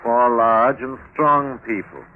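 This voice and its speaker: A man's voice: medium pitch, slow, lightly acted. An actor playing a self-assured magistrate, formal and clipped as he interrogates, in a 1950s radio drama. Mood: probing. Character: probing, brisk, self-assured male magistrate. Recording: tinny, noisy 1950s radio recording.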